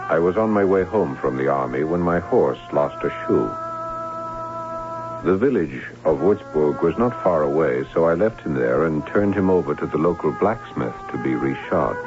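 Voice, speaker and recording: An actor playing a soldier telling of his journey home in a radio drama, with measured, formal, theatrical delivery. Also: very deep voice, expressive. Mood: composed. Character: young soldier bridegroom recounting his journey home from war. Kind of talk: radio drama first-person narration. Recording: muffled old radio with music underneath.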